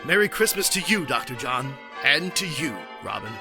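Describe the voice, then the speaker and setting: An actor playing an earnest, grave masked crime-fighting hero in a comic superhero audio drama. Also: male voice, medium pitch, sing-song, moderate pace, creaky, music in the background. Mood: solemn. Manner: earnest, solemn hero delivery. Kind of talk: old-time superhero radio parody.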